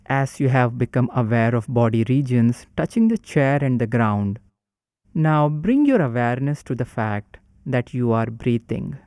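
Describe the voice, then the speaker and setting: Male meditation guide, warm dark tone, unhurried calm instruction. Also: medium pitch, no room sound, studio quality.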